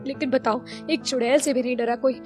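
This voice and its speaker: A woman's voice: high voice, fairly even intonation, brisk, soft background music, natural voice. A woman voicing an exasperated, scheming young daughter-in-law in a Hindi cartoon story, with dramatic complaining delivery. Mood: indignant.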